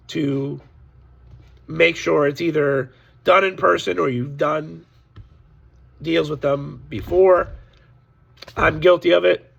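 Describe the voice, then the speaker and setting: A hoarse man with a slightly high, gravelly voice speaks casually and candidly to his viewers. His tone is raspy and worn, complaining about a bad deal while warning his audience. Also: a bit bright, dull audio. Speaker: sports card seller. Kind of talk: casual direct-to-camera vlog.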